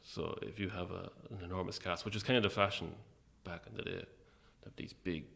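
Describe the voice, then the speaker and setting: A man's voice: sits low, fairly even intonation, fairly quick, gravelly, fairly bright tone. A man with an Irish accent talking casually as an interview guest, hesitant and dryly self-deprecating.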